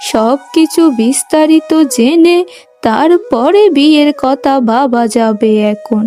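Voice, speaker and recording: A woman's voice: high voice, takes it slowly, mellow, soft background music. A woman voicing a proud, domineering middle-aged mother with an emphatic manner in a cartoon family drama. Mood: eager, greedy.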